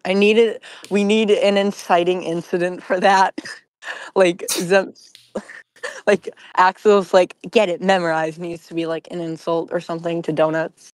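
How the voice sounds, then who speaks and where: A woman's voice: on the low side, level tone, unhurried, dry recording. A woman chatting casually as a member of a group podcast.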